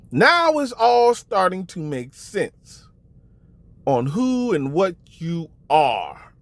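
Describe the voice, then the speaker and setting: Man, higher-pitched voice, dry close recording, mocking commentary, accusatory and teasing, emphatic questions. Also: a bit bright, no room sound. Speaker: online commentator and storyteller. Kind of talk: mocking commentary, addressing the camera.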